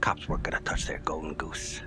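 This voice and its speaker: A man's voice: a bass voice, huge pitch swings, brisk, in a whisper, over music, heavily acted. Cocky, swooping streetwise male suspect. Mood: bitter. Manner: streetwise slang, mocking. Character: cocky, foul-mouthed young male suspect, streetwise, defiant, easily panicked. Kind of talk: dramatic video game acting.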